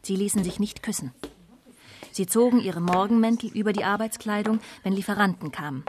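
A woman's voice: medium pitch, fairly quick, darkish tone, a little hiss or hum, lightly acted. An actress playing a disillusioned woman of twenty-nine, narrating her life soberly in a radio drama. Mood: wry, detached. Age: young adult. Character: disillusioned 29-year-old daughter of a prostitute, coolly confessional.

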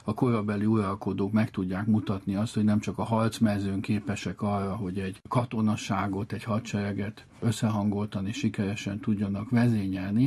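Male interviewee, husky and fairly low, formal explanatory delivery, measured and wordy. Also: mellow. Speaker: interviewed chess organiser.

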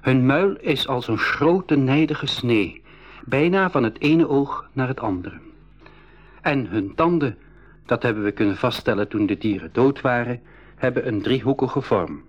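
Husky adventure narrator. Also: male voice, mid-range voice, animated, a little slow, muffled recording. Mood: storytelling. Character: inquisitive male expedition member recounting the adventure. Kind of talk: scripted radio drama narration and dialogue.

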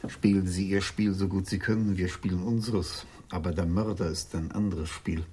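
An actor playing a probing, plain-spoken senior police commissioner in a German radio drama, direct and questioning in manner. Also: male voice, low-pitched, steady tone, moderate pace, warm tone, slight background noise, natural voice. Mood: urgent, frank.

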